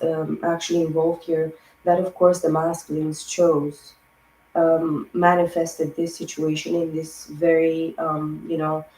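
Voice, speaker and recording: Hoarse lady doing a tarot reading, pretty monotone, rambles in long lists. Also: low-pitched, echoey room, rough recording. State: hoarse, losing her voice. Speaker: tarot reader. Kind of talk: spiritual tarot reading monologue.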